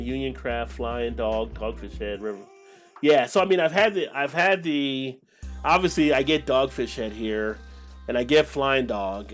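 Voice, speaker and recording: An American man hosting a casual live stream, talking to viewers in a rambling, conversational way. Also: medium pitch. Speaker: beer channel live stream host.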